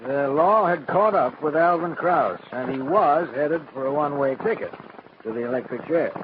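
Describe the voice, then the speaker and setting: A man plays a grave, authoritative crime narrator in scripted vintage radio style. His voice is a bit high, on a muffled broadcast with clear background noise. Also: moderate pace, natural voice. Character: grave, authoritative male official recounting crime cases.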